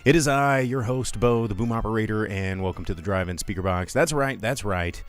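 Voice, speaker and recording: A man's voice: lowish voice, fairly quick. An American man hosting a casual live stream podcast, chatting in a rambling, informal way that often interrupts itself. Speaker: podcast host.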